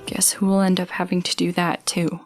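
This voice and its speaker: An actress plays a young female student stranded in space, worried and worn down. Her voice sits fairly low and moves hesitantly through private thoughts, as audio drama music plays clearly behind her. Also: little pitch movement, a little fast, lightly acted. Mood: weary, resigned. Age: young adult. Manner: hesitant, sighing, introspective. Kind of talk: reflective monologue, scripted audio drama.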